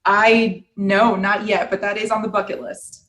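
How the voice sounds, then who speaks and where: A woman's voice: mid-range voice, measured pace, reverberant. A woman from New York, a podcast guest telling her story cheerfully. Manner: bright, cheerful, relaxed storytelling. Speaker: guest, real estate investor. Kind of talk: casual interview.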